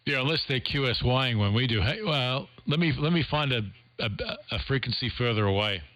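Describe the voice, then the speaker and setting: A man's voice: medium pitch, a little fast, fairly bright tone. A man working as an amateur radio operator, talking casually in a two-way contact over shortwave radio. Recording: very noisy shortwave radio audio.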